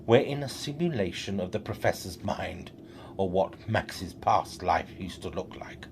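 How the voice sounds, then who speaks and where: Man playing a low-voiced, self-assured professor. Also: animated, measured pace, a little hiss or hum. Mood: explaining, evasive. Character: brisk, secretive male professor from another reality. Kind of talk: scripted audio drama acting.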